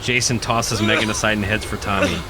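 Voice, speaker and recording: A man's voice: lowish voice, fairly even intonation, fairly quick, very noisy. A man reading a horror radio play as narrator, in a suspenseful, theatrical style. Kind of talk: dramatic scripted horror narration. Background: prominent music.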